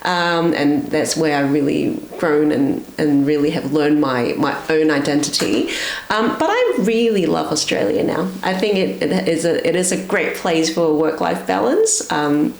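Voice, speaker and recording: A woman, chatting as an interview guest in a thoughtful, soft-spoken way with many um fillers. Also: low-pitched, expressive, measured pace, creaky, slight background noise. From Hong Kong. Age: 40s. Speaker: guest, retail and education manager. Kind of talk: casual interview chat. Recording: roomy echo.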